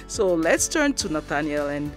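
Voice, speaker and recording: A woman's voice: low-pitched, big pitch swings, moderate pace, soft background music. A middle-aged woman hosting a radio-studio interview, who laughs often and chimes in with her guest. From Ghana. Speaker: host. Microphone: studio broadcast mic.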